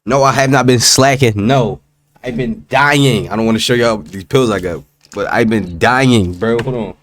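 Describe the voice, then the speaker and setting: A young man streaming his reaction to a video in slangy, casual talk, his voice still hoarse from a sore throat. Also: medium pitch, normal speed, fairly bright tone, slight background noise. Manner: slangy, loose, talks straight to viewers. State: hoarse, voice recovering from strep throat.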